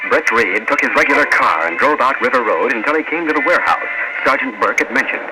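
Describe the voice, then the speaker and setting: A man's voice: mid-range voice, expressive, fast, natural voice. A man narrating a scripted old-time radio adventure serial in an earnest American voice. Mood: steady, suspenseful. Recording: very noisy old radio broadcast recording.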